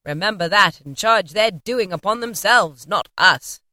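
A woman reading an audiobook and voicing a giant, generous male Christmas spirit who speaks in loud, emphatic exclamations. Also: medium pitch, animated, measured pace, no echo.

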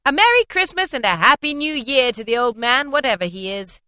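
A jolly young gentleman raises a toast in a hearty, raised voice, as a woman puts him on. The voice is bright, lively and close, with no room echo. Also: mid-range voice, fairly quick, natural voice.

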